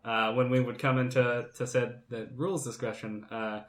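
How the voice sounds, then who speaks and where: A man's voice: medium pitch, little pitch movement, moderate pace. A man co-hosting a podcast, telling things in casual chat and often repeating the starts of his phrases. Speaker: podcast co-host.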